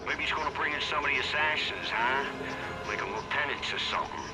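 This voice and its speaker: Man voicing a chatty henchman under loud music. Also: mid-range voice, brisk, natural voice, rough recording. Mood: curious, casual. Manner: streetwise, colloquial, speculating. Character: chatty, casual male street henchman.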